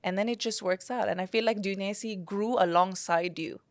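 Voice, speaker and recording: A woman's voice: mid-range voice, steady tone, a little fast, no echo. A woman hosting an interview podcast, effusive and affirming as she chats with her guest. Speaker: podcast host. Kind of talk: casual interview chat.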